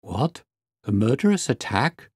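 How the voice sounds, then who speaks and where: A man voicing a courteous, concerned doctor with a brisk, firm manner in an audiobook. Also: medium pitch, sing-song, darkish tone, breathy edge, no room sound, clean studio sound. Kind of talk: audiobook character voice.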